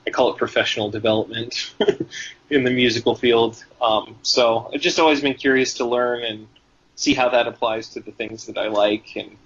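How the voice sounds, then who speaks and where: Man from Tennessee, husky voice, rambling and hesitant, dull lo-fi recording. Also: medium pitch, fairly even intonation, moderate pace, a bit bright. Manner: rambling, hesitant, many ums and restarts. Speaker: guitarist in a band, guest. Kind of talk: casual interview answers. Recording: dull, lo-fi sound.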